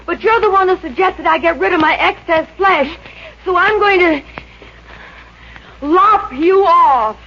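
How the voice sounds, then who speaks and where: An actress playing a plucky, excitable young heiress, girlish and emphatic, in a 1950s radio comedy. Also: extremely high pitch, unhurried, long pauses, full character voice. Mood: defiant, triumphant. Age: young adult. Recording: muffled, noisy vintage radio recording.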